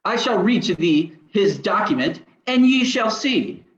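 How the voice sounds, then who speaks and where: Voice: mid-range voice, lively intonation, unhurried, lightly acted. A performer playing a pompous, indignant old male village elder with archaic speech in a radio drama reading. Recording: dull, roomy lo-fi sound.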